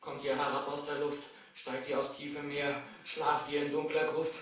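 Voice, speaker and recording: An actor playing a frightened, pious and loyal servant, agitated and pleading, in a radio play. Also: male voice, on the high side, little pitch movement, normal speed, fairly bright tone, lightly acted. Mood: incanting. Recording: echoey distant old vinyl record.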